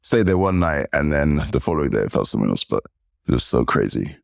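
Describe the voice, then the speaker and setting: English man, forties, podcast guest; animated storyteller, very low voice, dry sound. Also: fast talker, creaky, dry recording. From United Kingdom. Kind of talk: casual interview chat.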